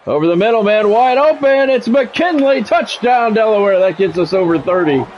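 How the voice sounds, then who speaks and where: A man's voice: high voice, animated, moderate pace, dull audio, a little hiss or hum. A middle-aged man commentating a sports video game in radio play-by-play style, with short clipped calls and casual, salty asides. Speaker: gaming streamer and play-by-play commentator.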